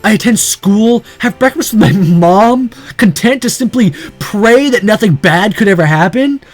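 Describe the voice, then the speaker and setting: A man's voice: high-pitched, lively intonation, moderate pace, soft background music, pitched above the performer's own voice. A man voicing a hot-headed teenage boy hero in a visual novel, anguished, indignant and defiant. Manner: emotional, indignant outbursts.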